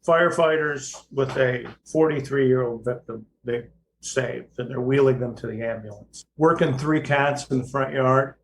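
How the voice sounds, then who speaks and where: A man's voice: mid-range voice, a little slow, slight background noise, low-quality recording. An American man co-hosting a talk, giving casual expert commentary in an emphatic, plain-spoken and wry way. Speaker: podcast co-host and firefighting instructor.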